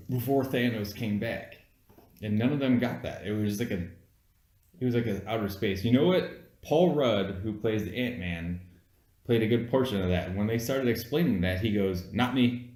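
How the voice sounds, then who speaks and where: A man's voice: on the low side, a little mellow. A man co-hosting an amateur movie review in casual, argumentative chat, insistently explaining his points. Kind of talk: casual argumentative film chat between friends. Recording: echoey room.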